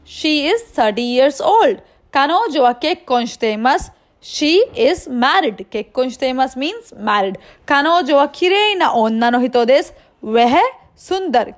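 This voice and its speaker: A woman with an Indian accent teaching an online language lesson, explaining line by line and checking understanding with questions. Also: high-pitched.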